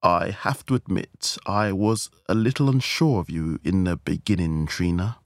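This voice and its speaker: A man voicing an imperious middle-aged society chairwoman, pompous, deliberate and pious in a comic story. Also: low voice, dry recording, clean studio sound. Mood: pensive, stately.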